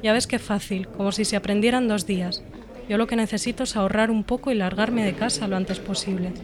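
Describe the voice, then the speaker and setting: A woman's voice: medium pitch, fairly even intonation, a little fast, a crowd in the background, natural voice. A young woman from Spain playing a frustrated, sarcastic unemployed psychologist in a scripted scene. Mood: weary. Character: frustrated young female job-seeking psychologist, blunt. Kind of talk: scripted dialogue.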